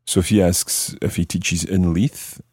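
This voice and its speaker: A man hosting a language lesson, explaining grammar step by step with deliberate, teacherly phrasing and switching into French examples. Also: low voice, steady tone, darkish tone, breathy edge, no room sound, clean studio sound.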